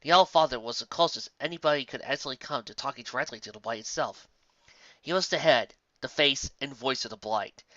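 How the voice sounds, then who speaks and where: A man's voice: mid-range voice, brisk, pushed and bright, no echo, highs cut off. A man reading a fantasy story aloud as a dramatic, theatrical and emphatic narrator. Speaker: narrator of fan fiction audiobook.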